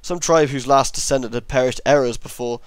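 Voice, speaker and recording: Male amateur narrator, mid-pitched, horror story reading, dry sound, occasional stumbles on long words. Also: a little fast, dry recording. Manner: reads with stumbles over long words, self-correcting.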